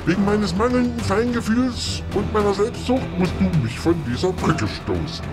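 Man playing a pompous high-pitched male troll. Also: expressive, normal speed, over music. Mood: pleading, guilty. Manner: pompous, stilted therapy-speak. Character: male troll, proud, hungry, pompous, guilt-ridden. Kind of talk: audio fairy-tale parody acting.

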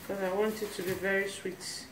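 Woman, Nigerian accent, casual cooking demo, unhurried instruction, roomy kitchen, noisy phone recording. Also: medium pitch, level tone. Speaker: home cook, host of a cooking channel. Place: small tiled home kitchen, indoors.

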